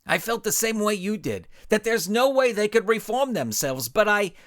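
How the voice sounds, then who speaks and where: Nervous male coach for audio storybook, played by a male narrator: high, whimpering, pleading, animated. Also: moderate pace, no room sound, clean studio sound. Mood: firm, halting. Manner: whimpering, insistent.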